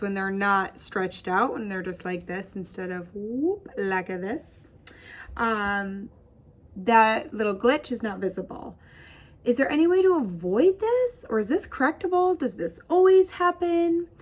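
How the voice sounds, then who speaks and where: A woman in her thirties hosting a casual knitting podcast from her home craft studio, chatty and bubbly, with a husky voice. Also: mid-range voice. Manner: bubbly, chatty, laughs often, gestures while talking. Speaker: host. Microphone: webcam framing at desk.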